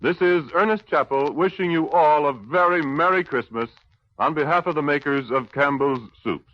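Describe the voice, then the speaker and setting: A man speaks as a polished, formal 1930s radio announcer. His voice sits a little high. The vintage recording is dull. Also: moderate pace, a little hiss or hum.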